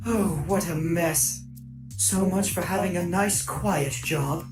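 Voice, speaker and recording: A woman's voice: on the low side, takes it slowly. A woman voicing an anxious, fussy robot henchman in a fan dub, speaking with worried sighs. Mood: fretful. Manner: worried, sighing. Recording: echoey, music underneath.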